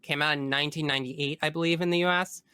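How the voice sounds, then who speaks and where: A man with a fairly high, bright voice talks as a podcast guest in a casual, enthusiastic way. His delivery is effusive and emphatic, with a smooth, clear tone and a dry sound. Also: fairly even intonation, fast talker, dry recording. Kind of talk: casual enthusiastic chat about video games.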